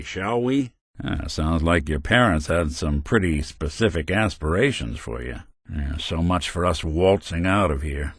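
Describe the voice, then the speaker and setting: An actor playing a world-weary robot detective in a video game, gruff, wry and kindly, with old-fashioned hard-boiled slang. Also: male voice, sits low, no room sound, clean studio sound, exaggerated voice. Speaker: video game companion character. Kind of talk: noir detective game dialogue.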